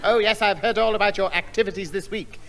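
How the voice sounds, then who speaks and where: A man playing a timid, fussy, well-meaning gentleman who hesitates and stammers, in a 1960s radio comedy. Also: high-pitched, steady tone, fast talker, gravelly, a crowd in the background. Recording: hissy old radio broadcast.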